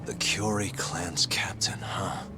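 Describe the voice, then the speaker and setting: Composed game-dub detective: understated, dry wit, fairly low and unhurried, under loud music. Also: male voice, steady tone, whispered. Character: cool, dry-witted male investigator, composed and sardonic. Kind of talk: English video game dub acting.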